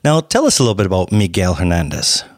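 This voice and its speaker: A man's voice: deep voice, big pitch swings, fast talker, no echo, clean studio sound. A man hosting a podcast, explaining topics in an upbeat, promotional way and introducing his guest. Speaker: podcast host. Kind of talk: podcast monologue and interview.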